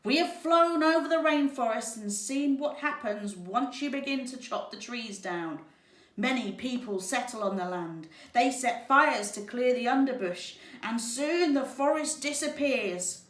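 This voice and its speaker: Lady doing a loud squawky toucan, stern, high voice, storybook, echoey room. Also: animated, measured pace. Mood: forceful warning. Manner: squawking, forceful. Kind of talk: children's storybook reading. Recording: roomy, distant microphone.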